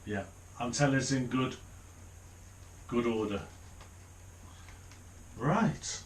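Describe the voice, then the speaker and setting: A middle-aged man giving casual unboxing commentary, thinking aloud in an unhurried way as he handles things at a desk in a small room. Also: mid-range voice, slight background noise. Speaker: hobbyist reviewer. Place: small home office, indoors.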